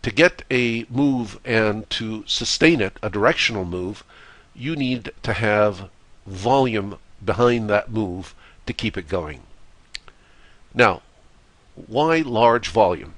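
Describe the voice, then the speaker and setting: A hoarse, congested man delivers a slide lecture on trading in a slightly low voice. He speaks in a measured way and leans on "uh" between phrases. The recording is close and dry, with no room sound. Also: expressive, a bit bright, no echo. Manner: measured, with frequent "uh" fillers. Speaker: trading course instructor.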